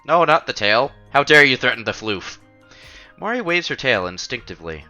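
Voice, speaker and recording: A man streaming a visual novel, reading the story aloud with casual, playful, expressive commentary. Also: mid-range voice, moderate pace. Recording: dull lo-fi sound, music behind.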